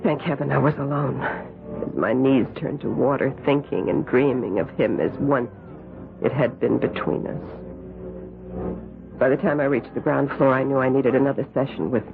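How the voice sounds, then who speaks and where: A woman's voice: a bass voice, moderate pace, creaky. An actress playing an anxious, earnest young woman devoted to a coven, in tense, dramatic radio drama style. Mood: haunted, confiding. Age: young adult. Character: anxious, intense young woman, a witch disciple. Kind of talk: 1970s radio drama acting and first-person narration. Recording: tinny old radio broadcast, music underneath.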